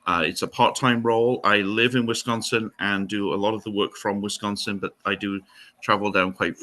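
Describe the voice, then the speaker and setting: A middle-aged Welsh man with a Welsh accent, a historian guest speaking haltingly in a casual interview, with many fillers and restarts. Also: lowish voice, little pitch movement, pushed and bright. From Wales.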